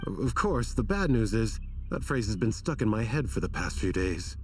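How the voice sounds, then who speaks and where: An actor playing an earnest, troubled and apologetic desert scholar in a video game, wordy and theatrical in manner. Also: male voice, on the low side, very animated, moderate pace, darkish tone, far from the mic, soft background music. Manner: wordy, self-conscious, theatrical.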